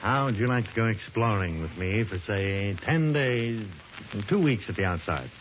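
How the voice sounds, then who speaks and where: Brief: man as an animated professor-explorer, lecturing and persuasive, on a dull, crackly vintage radio recording. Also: fairly low pitch, normal speed, lightly acted. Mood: eager. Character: enthusiastic male archaeology professor, explorer. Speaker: character in a vintage radio drama. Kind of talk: 1940s radio acting.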